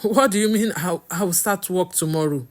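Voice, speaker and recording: A woman's voice: fairly low pitch, lively intonation, unhurried, darkish tone. A woman voicing a naive, frightened young woman in a story, stammering and pleading with a Nigerian accent. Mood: bewildered.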